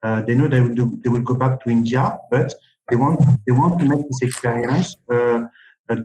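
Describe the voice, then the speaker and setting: A man with a French accent hosting a webinar over a video call, talking in a halting way with many restarts. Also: medium pitch, dark tone, slight background noise, rough recording. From France. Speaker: webinar host. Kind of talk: casual webinar chat.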